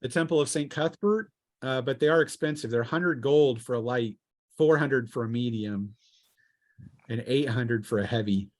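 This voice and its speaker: Male game master, mid pitch, casual and halting, thinking aloud as he explains. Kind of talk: casual tabletop role-playing game talk.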